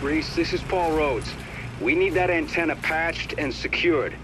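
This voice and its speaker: A man playing a gruff, sardonic, bossy tech operator in a video game. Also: fairly high pitch, unhurried, noisy background, close to an everyday voice. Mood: blunt, commanding. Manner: blunt, sardonic, commanding. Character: gruff, bossy, foul-mouthed male tech operator on comms.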